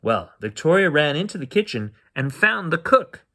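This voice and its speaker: A man reading a children's bedtime story aloud as storyteller, with animated delivery. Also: fairly high pitch, normal speed.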